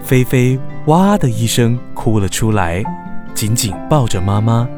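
A man narrating a children's radio drama in measured, expressive storytelling. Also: mid-range voice, natural voice. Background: background music.

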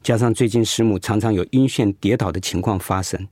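Man playing kindly hospital doctor, low husky voice, measured explanations, dry close sound, radio drama. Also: darkish tone, no room sound, lightly acted. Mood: grave, apologetic. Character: gentle male doctor, calm, sympathetic hospital director.

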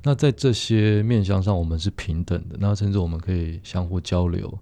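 A man's voice: low voice, brisk, dark tone. A man with a Taiwanese Mandarin accent chatting reflectively and earnestly, feeling his way through his phrases. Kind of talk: casual reflective chat.

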